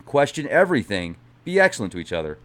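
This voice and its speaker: A man reads a book of catastrophes aloud with dramatic emphasis, his voice pitched in the middle range. He often breaks off for relaxed, chatty asides and stumbles over words before correcting himself. His delivery mixes theatrical reading with informal comments. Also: quick pace.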